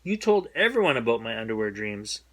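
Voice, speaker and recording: A man's voice: medium pitch, big pitch swings, fairly quick, fairly bright tone. A man reading a storybook, voicing an anxious, embarrassed schoolboy who exclaims and pleads. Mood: upset.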